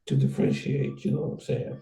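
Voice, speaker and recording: A man hosting a casual conversation, rambling and halting with many restarts. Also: dark tone, roomy sound, distant mic. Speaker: host.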